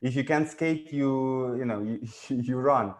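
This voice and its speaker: A man's voice: mid-range voice, a little slow. A man, not an English speaker, talking through ideas in a conversational discussion, thoughtful and prone to restarts. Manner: thoughtful, explains at length with frequent restarts. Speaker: guest.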